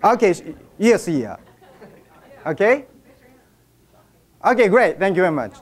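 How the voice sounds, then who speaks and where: Lively Japanese-accented man addressing a crowd. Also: high-pitched, moderate pace. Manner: jokey, drives audience participation. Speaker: speaker at an event. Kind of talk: humorous workshop talk. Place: event room with an audience.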